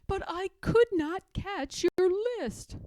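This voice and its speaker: A woman's voice: very high-pitched, takes it slowly, darkish tone, voice pushed up. A woman voicing a loyal, eager male frog in a children's storybook, obliging and encouraging. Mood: gentle, apologetic.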